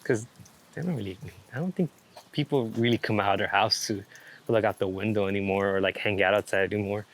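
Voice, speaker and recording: A man's voice: lowish voice, brisk. A man chatting casually in a laid-back, rambling way, swearing freely as he talks about his creative work as a photographer. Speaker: street photographer being interviewed. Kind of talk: casual chat.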